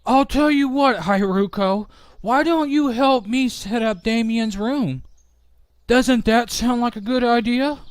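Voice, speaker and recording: A man playing a kindly, reassuring inventor with a gentle, folksy manner in a scripted game roleplay. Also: extremely high pitch, a little slow, smooth. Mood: gently reassuring. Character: kindly, reassuring male inventor and household head. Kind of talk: scripted Minecraft roleplay acting.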